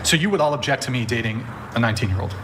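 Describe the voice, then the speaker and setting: Male podcast host in his thirties, quick and blunt, casual panel chat. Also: mid-range voice, lively intonation, a bit bright, slight background noise. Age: 30s. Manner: blunt, provocative, says "bro".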